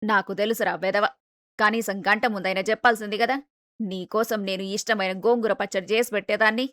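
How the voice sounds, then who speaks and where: A woman's voice: mid-range voice, fairly even intonation, brisk, fairly bright tone, dry recording, clean studio sound, natural voice. A woman playing a doting, boastful middle-aged mother who scolds in colloquial exclamations, in a dramatised moral story. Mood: surprised, fond.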